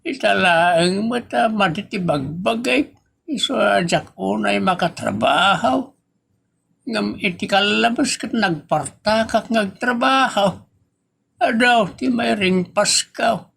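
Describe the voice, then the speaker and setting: A man's voice: high-pitched, animated, slow pace, creaky, fairly bright tone, husky, exaggerated voice. A man acting an aggrieved old Ilocano father. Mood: complaining. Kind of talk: Ilocano radio comedy drama.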